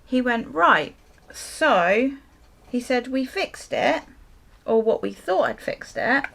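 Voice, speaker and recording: Slow-paced British woman telling a story. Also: fairly high pitch, animated, creaky, a bit bright. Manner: sweary, exasperated storytelling with acted-out dialogue. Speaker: vlogger. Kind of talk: casual chatty storytime while getting ready.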